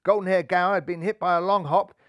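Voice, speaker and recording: Children's audiobook narrator, male, lightly high pitch, expressive and playful, dry sound. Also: quick pace, dry recording. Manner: theatrical, playful. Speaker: storyteller reading aloud.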